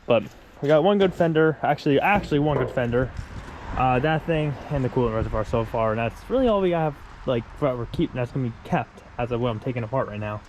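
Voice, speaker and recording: A man's voice: mid-range voice, animated, fast talker. Congested guy talking through a car teardown, chill and rambly, faint shop noise behind. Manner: rambling, informal, self-correcting as he works. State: congested after being sick. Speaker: vlogger working on his race car. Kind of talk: casual how-to narration.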